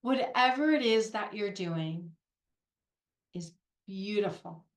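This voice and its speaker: A woman guides a meditation at a slow, unhurried pace. Her voice sits at a middle pitch and she leaves gentle pauses between phrases. The recording has a noticeably roomy echo. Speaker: guided meditation leader, Reiki practitioner. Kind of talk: guided meditation, soothing instruction.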